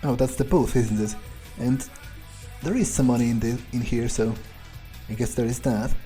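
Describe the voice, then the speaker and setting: A man playing a cold, cynical vampire, thinking aloud in a nonchalant, halting way as he plays through a game. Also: mid-range voice, fairly even intonation, warm tone, soft background music, lightly acted. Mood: cynical musing. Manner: nonchalant, hesitant musing. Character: cold, cynical male vampire, casually murderous. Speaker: game streamer role-playing his character. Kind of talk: in-character playthrough monologue, thinking aloud.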